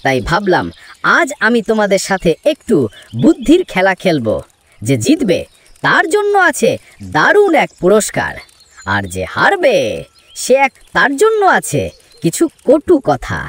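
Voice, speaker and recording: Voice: mid-range voice, wildly swooping pitch, measured pace, a bit bright, slightly rough, exaggerated voice. An actor plays a crafty male fox from a children's cartoon. The delivery swoops dramatically up and down, wheedling and falsely sweet, with theatrical mock friendliness. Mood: slyly challenging. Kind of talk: children's cartoon story dialogue.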